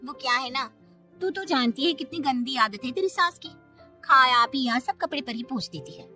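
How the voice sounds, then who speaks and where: A woman acts a gossipy neighbour woman in a cartoon tale. The voice is high, wary and full of complaint, with music playing behind. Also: expressive, normal speed, pushed and bright. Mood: wary, complaining.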